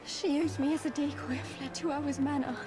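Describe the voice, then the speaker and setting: Actress as high-voiced, frightened young Englishwoman. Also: fairly even intonation, a little fast, music in the background, close to an everyday voice. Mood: anxious, confiding. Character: Nelly, frightened, pleading young working woman in Victorian London. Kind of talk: video game cutscene acting.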